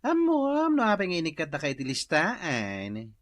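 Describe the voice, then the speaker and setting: A man's voice: moderate pace, no room sound. A man as a scolding, lecturing friend. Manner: scolding, lecturing, lively pitch. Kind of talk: Ilocano radio comedy drama acting.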